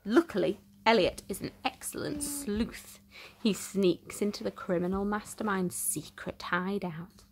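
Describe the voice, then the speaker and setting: A woman reading a children's storybook aloud in an expressive, theatrical way with deliberate emphasis. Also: medium pitch, a little hiss or hum, poor audio. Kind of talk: dramatic storytime narration for young children.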